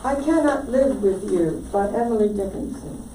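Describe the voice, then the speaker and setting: A woman's voice: medium pitch, expressive, moderate pace. A woman reading a poem aloud at a live poetry event. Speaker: poetry reader. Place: indoor library poetry reading. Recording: echoey room, distant mic, audience noise.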